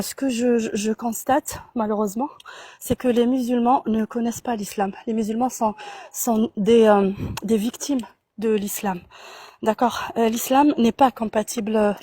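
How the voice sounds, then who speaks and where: A woman from Algeria, a guest on a live stream, talking with many pauses, fillers and restarts. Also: on the high side, dark tone, slightly rough, no room sound. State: out of breath at first. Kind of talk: live call-in discussion.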